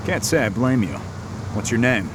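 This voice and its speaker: An actor playing a gruff, chatty security recruiter in a video game, casual, wry and matter-of-fact. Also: male voice, mid-range voice, animated, normal speed, close to an everyday voice. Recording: noisy outdoor ambience behind.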